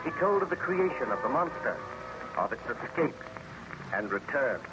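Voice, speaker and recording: A man giving a formal, deliberate, dramatic recap as an announcer in 1930s radio style. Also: mid-range voice, sing-song, muffled recording. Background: loud music.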